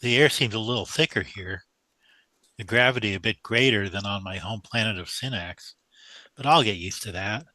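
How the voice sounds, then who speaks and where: A man's voice: fairly low pitch, normal speed, sharp tone, no room sound, low-quality recording. An actor playing a young male newcomer, awed and unsure, in a science fiction audio drama. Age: young adult.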